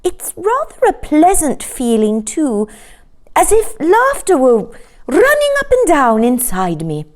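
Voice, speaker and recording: Woman voicing a plaintive, high-pitched red cow. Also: huge pitch swings, slow, full character voice. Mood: wondering. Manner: plaintive, earnest. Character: distressed, polite, simple red cow who cannot stop dancing.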